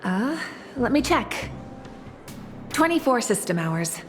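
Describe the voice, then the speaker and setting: A woman voicing a formal, procedural announcer and receptionist in a video game, measured and officious. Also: a little high, sing-song, over music. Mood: polite, procedural.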